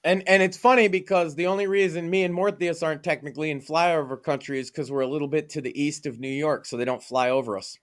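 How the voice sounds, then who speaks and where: Brash, profane man, higher voice. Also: steady tone, quick pace, hardly any pauses, a bit bright, a little hiss or hum. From Massachusetts. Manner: profane, emphatic, opinionated. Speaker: podcast co-host. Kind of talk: casual podcast chat.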